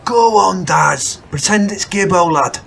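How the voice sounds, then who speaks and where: A man playing brash, foul-mouthed working-class lads in a crime audio drama, with a husky, blunt delivery. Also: high voice, lively intonation, a little slow, fairly bright tone, slight background noise, full character voice. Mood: goading. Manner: blunt, sweary, slangy.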